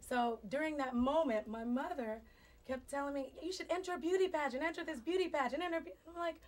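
Hoarse woman telling her story. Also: sits high, fairly quick. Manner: lively storyteller, laughs often. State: hoarse from laryngitis. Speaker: talk show guest. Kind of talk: casual talk show interview. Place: large television studio set.

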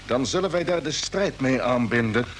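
A man's voice: medium pitch, expressive, moderate pace, natural voice. Man acting spaceship commander, earnest, defiant, emphatic, vintage radio drama, faint noise. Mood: resolute. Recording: noisy vintage radio play recording.

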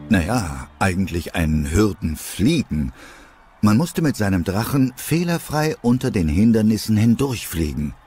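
A man's voice: on the low side, big pitch swings, unhurried, mellow, husky, faint music behind. A man narrating a scripted story as the narrator of an audio drama.

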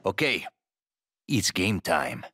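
An actor playing a flippant, flirtatious rogue in a video game, teasing and casual with mock-courtly flourishes. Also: male voice, sits low, big pitch swings, slow pace, heavy background noise, exaggerated voice. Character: flippant, roguish, flirtatious male adventurer. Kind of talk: game cutscene dialogue.

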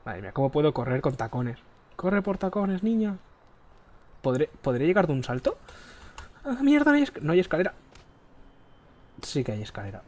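A man from Spain chatting while he plays, rambling and crude, keeps clearing his throat, speaking into a headset mic. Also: on the high side, very animated, moderate pace, pauses often, a little mellow. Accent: Spain Spanish. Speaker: gaming streamer. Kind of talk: casual gameplay chat. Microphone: headset mic close to the face.

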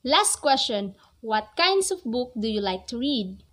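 A woman's voice: a little high, big pitch swings, measured pace, a bit bright. A young woman in her twenties, not a native English speaker, talking earnestly to the camera about reading in a small room. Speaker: book vlogger. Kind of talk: casual talk to camera. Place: small cozy bedroom with string lights. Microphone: camera at close range.

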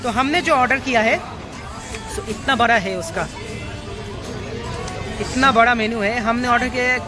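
A man's voice: very high-pitched, moderate pace. A man in his thirties vlogging on a handheld phone, mixing Hindi and English as he reads out a menu. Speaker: food vlogger. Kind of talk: casual restaurant vlog. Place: busy brewery restaurant. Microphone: handheld phone camera. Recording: loud music and crowd chatter.

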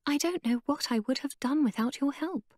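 A woman voicing an eager, determined young woman, her voice pitched up, breathless and urgent. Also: normal speed, darkish tone, no room sound, studio quality, natural voice.

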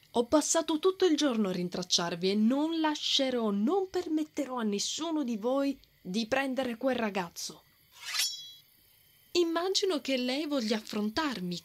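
A woman performs a brave lady knight for an ASMR roleplay. Her voice is fairly high and breathy, often slipping toward a whisper. She is hot-tempered and blustering, yet easily flustered, with a lovestruck side she tries to hide. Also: expressive, measured pace. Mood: angry, defiant. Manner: tsundere, blustering, flustered stammering.

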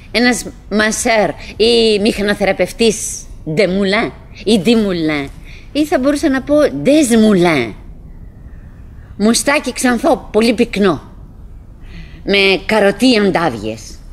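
An actress playing a chattering, wistful middle-aged wife who is stubbornly cheerful, musing aloud in fragments and exclamations in a theatrical radio play. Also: female voice, medium pitch, close to an everyday voice. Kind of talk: theatrical radio drama monologue. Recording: noisy old radio drama recording.

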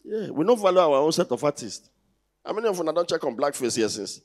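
Nigerian guy doing standup into a handheld mic, slightly high voice, chatty and teasing. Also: sing-song, fast talker. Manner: conversational, teasing, rhetorical questions to the crowd. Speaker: stand-up comedian. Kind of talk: stand-up comedy in Nigerian Pidgin. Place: large indoor theatre stage. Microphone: handheld stage microphone.

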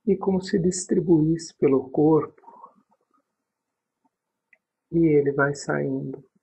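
A man with a Brazilian accent leading a guided sleep meditation, calm and unhurried, pausing between short phrases in a husky, breathy voice. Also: fairly high pitch. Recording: dull, muffled lo-fi recording.

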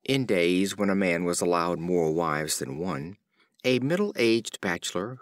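A man narrating a storybook of fables in a measured, expressive reading. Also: fairly low pitch, dry recording, studio quality. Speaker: audiobook narrator.

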